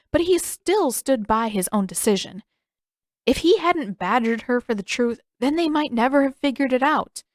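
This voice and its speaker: A woman reading scripted fiction aloud as an expressive narrator. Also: on the high side, dry recording, clean studio sound. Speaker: podfic narrator.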